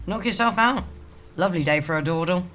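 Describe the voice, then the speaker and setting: An actress playing a blunt, flippant, sarcastic British office worker in a scripted audio comedy. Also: female voice, fairly low pitch, fairly quick, fairly bright tone, soft background music, close to an everyday voice. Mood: sardonic. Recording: clear street noise with siren behind.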